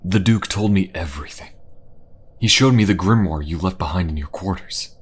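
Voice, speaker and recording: A man's voice: deep voice, moderate pace, slight background noise, close to an everyday voice. An actor playing a stern, vengeful king and father in a fantasy audio drama, commanding and brooding. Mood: stern, accusing.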